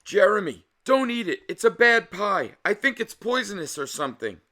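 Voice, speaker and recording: A man's voice: high voice, big pitch swings, moderate pace, bright, forceful tone, voice pushed up, full character voice. A man voicing an earnest, anxious young boy, the hero of a storybook, with emphatic, urgent delivery. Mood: panicked warning.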